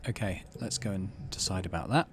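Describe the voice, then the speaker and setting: A man's voice: low voice, brisk, a little hiss or hum. A middle-aged man giving casual commentary as he plays a video game. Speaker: let's play host. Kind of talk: casual game commentary and reading text aloud.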